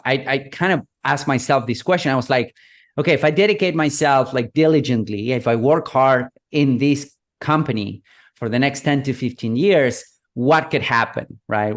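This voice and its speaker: Argentine guy, 30s, relaxed storyteller, chatty with lots of fillers. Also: medium pitch. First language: Spanish. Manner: relaxed, rambling with many fillers like "you know" and "whatnot". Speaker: guest, digital marketing consultant. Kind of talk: interview guest, storytelling.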